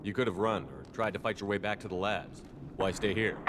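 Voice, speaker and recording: A man plays a tough commander in a video game with a low voice and quick delivery. He is curt, controlled and direct. Background noise is clearly audible. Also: steady tone, lightly acted. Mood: probing, controlled. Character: tough male military commander, curt, questioning.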